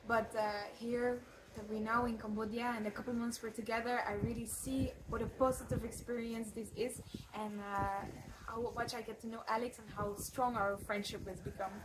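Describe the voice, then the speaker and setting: Dutch woman speaking earnest, higher-pitched English. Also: little pitch movement. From Netherlands. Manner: earnest, with frequent filler pauses. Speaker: lay missionary teacher. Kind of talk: casual testimonial to camera. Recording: background noise.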